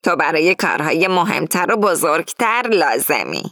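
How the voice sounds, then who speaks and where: A woman's voice: sits low, big pitch swings, fairly bright tone, no room sound, clean studio sound, full character voice. A woman voicing a vain older woman with a tart, impatient way of speaking.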